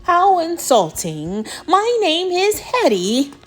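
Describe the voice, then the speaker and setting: A woman's voice: on the high side, very animated, slow, soft background music. A woman voicing a huge, grumpy female mountain monster in a storybook, emphatic and indignant.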